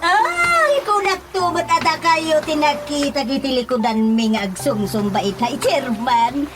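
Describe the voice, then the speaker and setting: A sly, teasing village gossip, high and very animated. She has an Ilocano accent and a giggly radio comedy manner. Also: a little slow, over music.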